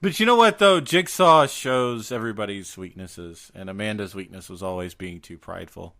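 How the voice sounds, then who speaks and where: Bright-voiced joking male podcast co-host. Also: lowish voice, moderate pace. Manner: joking, rambling, grumbling rants. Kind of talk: casual chat.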